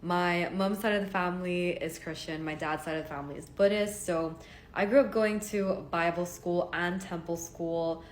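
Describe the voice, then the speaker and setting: A woman's voice: fairly low pitch, fairly even intonation, moderate pace, slight background noise. A woman in her twenties from Hong Kong, telling a personal story in casual vlog style, earnest and chatty with many fillers. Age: 20s. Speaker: vlogger. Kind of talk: casual talking-to-camera story. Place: home.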